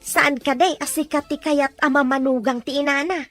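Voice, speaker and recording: A woman with an Ilocano accent playing a caring mother who speaks gently to her daughter and chides her firmly. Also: high voice, steady tone, moderate pace, soft background music. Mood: chiding. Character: caring mother of a soon-to-be bride.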